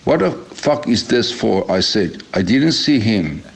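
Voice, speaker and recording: A man's voice: on the low side, sing-song, a little slow, vocal fry, husky, rough recording. A man reading a first-person testimony as a voice-over translation.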